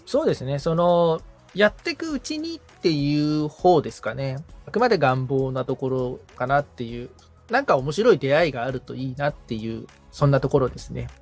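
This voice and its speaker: A man talks as the assistant on a coaching podcast. His delivery is casual but polite, thoughtful and somewhat tentative. His pitch moves in a lively way, and faint music plays behind him. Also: normal speed. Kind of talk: casual conversation, asking and musing.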